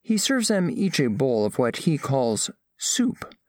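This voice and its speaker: Formal, lively-pitched alien scholar. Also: male voice, takes it slowly, no echo, studio quality. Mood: formal, curious. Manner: formal, precise, clinical phrasing. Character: alien scholar envoy, formal, curious, earnest observer of humans. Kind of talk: scripted audio drama narration.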